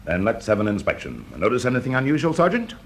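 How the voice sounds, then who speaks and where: An actor with a British accent playing a confident, shrewd fire investigator in a radio drama, brisk, urbane and teasing. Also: male voice, medium pitch, huge pitch swings. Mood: wry, brisk. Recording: noisy classic radio drama recording.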